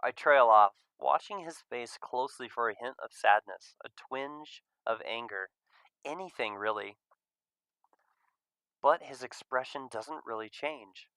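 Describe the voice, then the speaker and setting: A man reading a visual novel aloud as an expressive first-person narrator. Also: medium pitch, no echo, rough recording.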